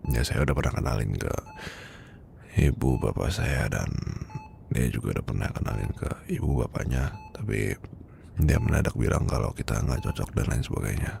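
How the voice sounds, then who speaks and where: A man playing a weary, heartbroken boss confiding from his sickbed in an ASMR roleplay, with an Indonesian accent and a hesitant, breathy, murmuring delivery. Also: very deep voice, big pitch swings, background noise, heavily acted. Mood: wistful, resigned. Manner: hesitant, murmuring, halting, sighing.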